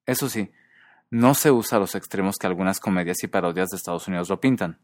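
A man hosting a podcast who explains things in a measured, scripted narration. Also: deep voice, darkish tone, no room sound, studio quality. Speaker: podcast host.

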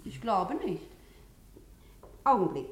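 A woman's voice: medium pitch, huge pitch swings, slow pace. Lilting female secretary, roomy sound. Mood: polite, dutiful. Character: dutiful female secretary, brief and polite. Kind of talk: 1950s German radio drama acting. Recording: roomy, faintly noisy old radio recording.

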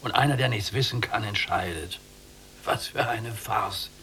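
An actor playing a weary, bitter old prisoner in a German radio drama, speaking in a gravelly, resigned and declamatory way. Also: male voice, fairly low pitch, unhurried, sharp tone, a little hiss or hum. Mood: bitter, mocking.

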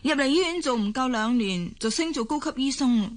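A woman's voice: high voice, animated, fairly quick. A woman acting in a Hong Kong Cantonese radio drama as a doting, pushy young hospital assistant and possessive girlfriend. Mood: delighted.

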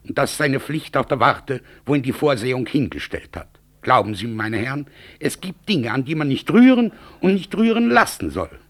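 An actor playing a self-satisfied, coolly sarcastic defence lawyer in a 1960s German radio drama, polished and pompous. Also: male voice, mid-range voice, big pitch swings, normal speed.

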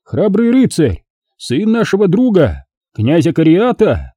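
A man's voice: high-pitched, very animated, normal speed, warm tone, dry recording, clean studio sound, voice pushed up. A man voicing a proud grand master of a knightly order, with emphatic, ceremonious oratory and coloring. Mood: proud, celebratory.

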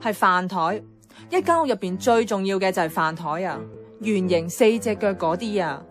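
A woman's voice: medium pitch, animated, moderate pace, soft background music, lightly acted. An actress playing a weary, strong-willed office woman in a Hong Kong Cantonese radio drama, mixing in English words. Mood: exasperated, insistent. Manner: code-switches into English words. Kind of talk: radio drama dialogue and inner-monologue narration.

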